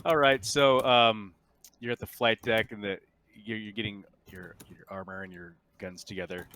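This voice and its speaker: A man running a tabletop roleplaying game as game master, narrating casually in a halting, informal way. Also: lowish voice, fairly even intonation, sharp tone.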